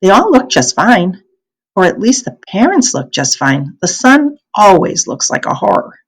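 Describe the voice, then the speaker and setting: A chatty, teasing storybook schoolgirl, voiced by a woman. Her pitch is lifted and moves around in an animated way. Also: measured pace, husky, exaggerated voice, poor audio.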